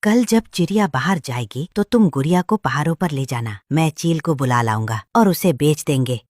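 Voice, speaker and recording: Male cartoon crow henchman a woman acting: deep, gruff, conniving, slightly noisy recording. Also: lively intonation, normal speed, a little mellow. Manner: brusque, conniving.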